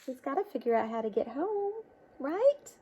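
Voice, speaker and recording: A woman's voice: high-pitched, sing-song, moderate pace, mellow. A woman reading a picture book aloud to children, expressively, with coaxing questions and asides to her listeners.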